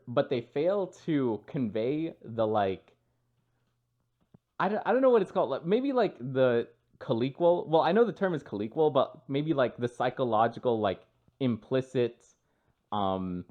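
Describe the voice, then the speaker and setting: A man's voice: mid-range voice, darkish tone, muffled recording. Man rambling with lots of "like". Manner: rambling, heavy use of "like" and "you know". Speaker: podcast co-host. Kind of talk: casual chat.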